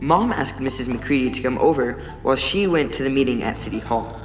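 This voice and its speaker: A male actor plays an upbeat, nerdy boy in an audio drama. He talks casually in a boyish, medium-pitched voice, with music clearly playing underneath. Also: expressive, brisk, lightly acted. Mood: mildly grumbling. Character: upbeat, nerdy, good-natured young brother.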